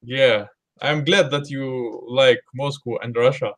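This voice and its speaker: A man's voice: medium pitch, slow pace, a bit bright. Man asking simple interview questions.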